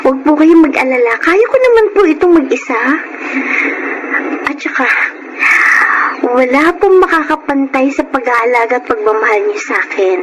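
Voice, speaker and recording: A woman's voice: high-pitched, animated, normal speed, long pauses, very noisy. A woman playing a polite, hardworking thirteen-year-old girl in a Tagalog radio drama, earnest and devoted. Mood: tender, reassuring. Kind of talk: Tagalog radio drama acting with first-person narration. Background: music.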